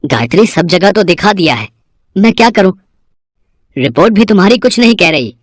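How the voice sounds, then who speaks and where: An actor playing a kindly, concerned, devout father in a cartoon moral story, gentle and expressive. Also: high-pitched, normal speed, no room sound, studio quality. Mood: worried.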